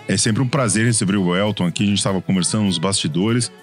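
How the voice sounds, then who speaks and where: A man's voice: fairly low pitch, quick pace, over music. A man with a São Paulo accent hosting a history podcast in a friendly, chatty way, often restarting his phrases. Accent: São Paulo Portuguese. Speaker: podcast host. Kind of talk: casual podcast chat.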